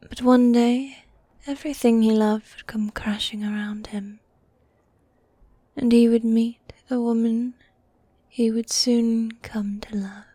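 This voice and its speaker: Woman as a slow, breathy demon girlfriend, whispering. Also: a little high, fairly even intonation, no echo. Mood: hushed storytelling. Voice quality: soft, breathy, often whispering. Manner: affectionate, coaxing, drawn-out genteel phrasing. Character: needy, dominant goth demon girlfriend, teasing and theatrical. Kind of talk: medieval fantasy ASMR roleplay.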